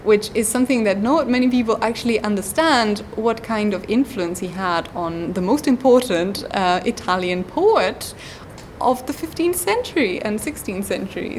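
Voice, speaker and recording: A woman's voice: medium pitch, expressive, normal speed, a little mellow, a little hiss or hum. Hesitant woman scholar, frequent ums. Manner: hesitant, many ums and uhs. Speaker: guest scholar. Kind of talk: academic interview.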